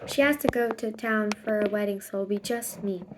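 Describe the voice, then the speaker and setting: A woman's voice: medium pitch, moderate pace, background noise, close to an everyday voice. A woman voicing a busy, overwhelmed working mother in a storybook, weary and apologetic as she explains.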